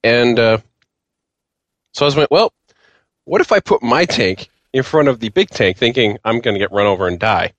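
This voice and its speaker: A man chatting casually on a podcast panel about a video game, recounting things in an animated way and acting out his own reactions. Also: mid-range voice, normal speed, long pauses, no echo, lo-fi sound.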